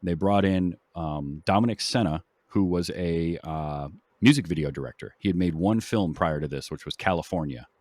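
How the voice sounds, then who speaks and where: Deep voiced guy on a movie podcast, explaining stuff kinda haltingly, very dry sound. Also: a little mellow, dry recording. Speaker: film podcast host. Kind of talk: casual film discussion, recapping background.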